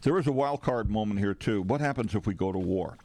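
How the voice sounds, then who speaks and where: A man's voice: lowish voice, brisk, warm tone. An older American man co-hosting talk radio, chatting off the cuff with wry, hesitant interjections. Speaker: radio show co-host. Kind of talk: casual off-the-cuff chat. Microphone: close studio microphone.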